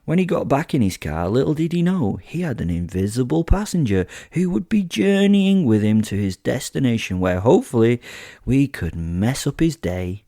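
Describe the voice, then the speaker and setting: A man's voice: mid-range voice, big pitch swings, moderate pace, mellow, dry recording, studio quality, voice pushed up. A man voicing a sadistic werewolf killer, narrating a horror audio drama in a theatrical, savouring, wordy way. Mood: gloating, amused. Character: sadistic gloating male werewolf killer telling his hunt.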